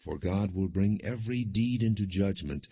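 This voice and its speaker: Deep-voiced man reading scripture, phone-like sound. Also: normal speed, vocal fry, breathy edge. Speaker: audiobook Bible narrator. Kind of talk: scripted scripture reading, non-dramatized audiobook. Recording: muffled, narrow phone-like sound.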